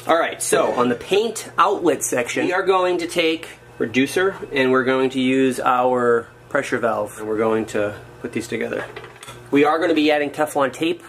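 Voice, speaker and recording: A man's voice: medium pitch, a little hiss or hum. A man casually talking through a hands-on workshop project, muttering to himself as he works and making wry asides about his own mistakes. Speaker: hobbyist showing a workshop project. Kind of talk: casual how-to narration while working. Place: indoors at a wooden workbench or floor. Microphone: camera framed on his hands over the work.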